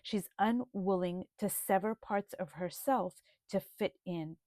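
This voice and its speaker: A woman presenting her art journal in a video, speaking in a slow, thoughtful, gently earnest way. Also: mid-range voice, no echo, clean studio sound. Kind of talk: reflective video flip-through.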